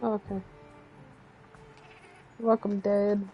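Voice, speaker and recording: A woman's voice: mid-range voice, level tone, slow pace, faint music behind. A woman chatting casually and grumbling sarcastically while playing a video game with her brother. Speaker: gaming streamer. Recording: dull lo-fi stream audio.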